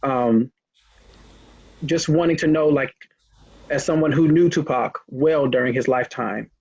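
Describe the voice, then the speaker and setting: A man's voice: mid-range voice, slightly rough, dry recording. A man interviewing a guest, talking in a rambling, hesitant way with many restarts. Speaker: interviewer, host. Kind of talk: casual interview. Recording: muffled lo-fi recording.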